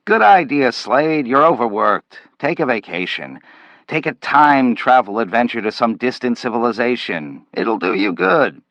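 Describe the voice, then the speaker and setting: A man's voice: medium pitch, big pitch swings, moderate pace, a bit bright, no echo, dull audio. A man voicing a hearty boss giving encouragement, in a story reading.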